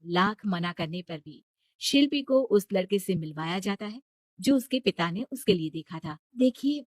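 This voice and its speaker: A woman's voice: fairly low pitch, lively intonation, moderate pace, dry recording, studio quality. A woman reading a scripted story as a dramatic narrator.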